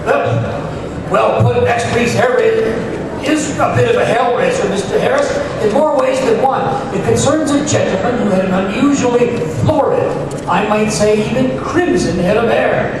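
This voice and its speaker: An actor playing a genteel older gentleman storyteller, chatty and formal, in old-time radio style. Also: extremely high pitch, moderate pace. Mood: teasing. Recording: echoey hall, far off, crowd noise.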